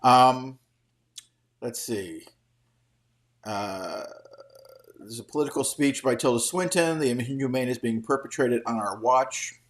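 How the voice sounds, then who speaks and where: A man's voice: mid-range voice, unhurried, long pauses. Rambling, opinionated middle-aged man. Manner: rambling, opinionated, casually profane. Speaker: host of a daily show commenting on entertainment trade news. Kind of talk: off-the-cuff solo commentary.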